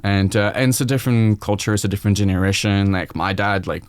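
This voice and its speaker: A man's voice: fairly low pitch, fairly even intonation, fast talker, clear, resonant tone, dry recording. A man in his forties with a French accent, a chef guest speaking openly and reflectively on a podcast. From France. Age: 40s. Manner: reflective, open, quoting his own thoughts aloud. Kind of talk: candid podcast conversation.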